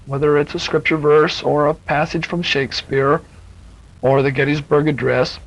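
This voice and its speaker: A man's voice: fairly high pitch, highs cut off. A man giving a patient, encouraging spoken tutorial as an instructor, explaining each step plainly on a 1970s educational cassette.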